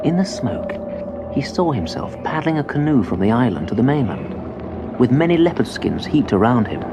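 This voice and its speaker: A man's voice: medium pitch, very animated, moderate pace. A man narrating a children's story expressively, on an old cassette transfer. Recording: dull cassette transfer with music behind. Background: instrumental music.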